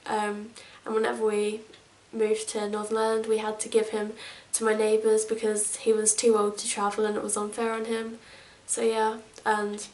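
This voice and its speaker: A woman's voice: mid-range voice, completely flat delivery, moderate pace, few pauses, darkish tone. A woman in her twenties from England, chatting casually to camera as a vlogger, rambling with lots of fillers. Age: 20s. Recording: echoey room, mic at a distance.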